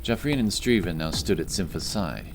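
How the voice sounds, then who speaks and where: A man's voice: low voice, expressive, moderate pace, loud music behind the voice. A man reading scripted, dramatic narration for a fantasy adventure story.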